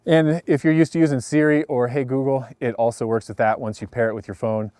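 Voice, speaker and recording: A man's voice: medium pitch, steady tone, brisk, warm tone, clean tone, no echo. A man giving a casual product review in vlog style, explaining things in a laid-back, plain way. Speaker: host of a ski gear review.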